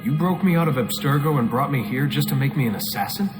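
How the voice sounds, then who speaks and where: An actor playing a reluctant young recruit in a video game, speaking in a casual, questioning, sardonic way. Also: male voice, mid-range voice, animated, fast, over music, lightly acted, rough recording. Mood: resolved.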